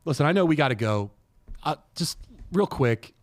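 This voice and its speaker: A man's voice: fairly high pitch, lively intonation, moderate pace, no room sound. A man speaks as a podcast host in casual, opinionated style. His delivery is blunt and emphatic, peppered with profanity, and the sound is close and dry. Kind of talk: casual chat, opinionated ranting about shows.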